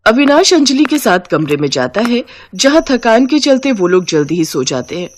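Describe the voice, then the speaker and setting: Woman narrator for cartoon moral tales: expressive scripted read, lively melodic range, studio-dry. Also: a little high, normal speed, dry recording. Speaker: storyteller, narrator.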